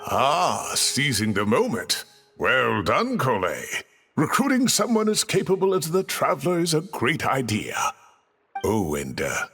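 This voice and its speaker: Proud genial older scholar mentor, super swoopy theatrical delivery, anime game dub, faint music. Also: male voice, mid-range voice, a bit bright, exaggerated voice. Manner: theatrical, avuncular, self-satisfied.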